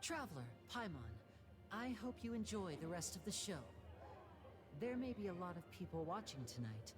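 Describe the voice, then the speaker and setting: A young male magician in a video game, in a low register. The delivery is deliberate, theatrical and quietly cutting, with music clearly behind it. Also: very animated. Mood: sincere, nervous.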